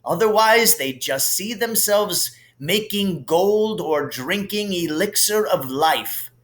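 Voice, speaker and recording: A man voicing an elderly, genial, whimsical wizard headmaster who muses in a dreamy, unhurried way. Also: high voice, expressive, fairly bright tone, full character voice. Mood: pleased, boastful. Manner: unhurried, musing.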